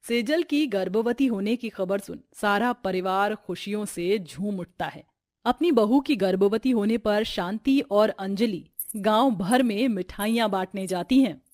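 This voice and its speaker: A woman's voice: mid-range voice, unhurried, a little mellow, dry recording, clean studio sound. A woman narrator reading a scripted cartoon family story.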